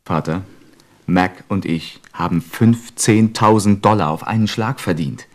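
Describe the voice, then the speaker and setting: A man's voice: deep voice, unhurried, a little mellow. An actor playing a confident, boastful businessman son in a radio play, speaking in a self-assured, persuasive way. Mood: pleased. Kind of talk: literary radio play acting.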